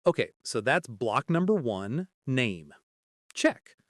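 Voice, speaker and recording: A man's voice: mid-range voice, sing-song, slow pace, no echo, studio quality. A man co-hosting a scripted, conversational English lesson in an inquisitive, explanatory way. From Canada. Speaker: podcast co-host.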